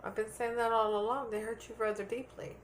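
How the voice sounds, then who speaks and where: A woman's voice: fairly high pitch, little pitch movement, fairly quick, a little hiss or hum. Earnest woman giving a tarot reading. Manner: earnest, repeats points with "okay?". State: losing her voice. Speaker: tarot reader. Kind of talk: tarot reading, addressing viewers.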